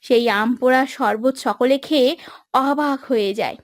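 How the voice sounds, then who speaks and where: A woman's voice: high voice, a little slow. A woman narrating a cartoon moral tale in a scripted storytelling style.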